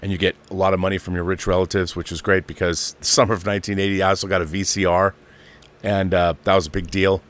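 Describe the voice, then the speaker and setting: A man's voice: low voice, little pitch movement, quick pace, fairly bright tone. A man in his fifties hosting an online show, talking off the cuff in a casual, emphatic fan monologue and repeating phrases for stress. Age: 50s.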